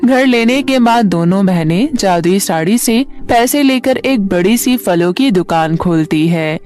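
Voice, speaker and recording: A woman's voice: mid-range voice, expressive, measured pace, darkish tone. A woman reading a scripted fairy tale for children as a storyteller. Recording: music bed under the voice. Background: background music.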